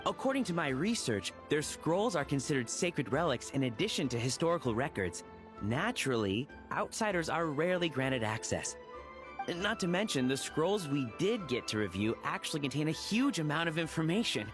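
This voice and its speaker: An earnest, polite researcher laying out old legends in a video game. His voice is a little high and moves with lively inflection. Soft game music plays behind him. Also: normal speed. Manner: polite, explanatory, lecturing tone. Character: earnest scholarly male researcher.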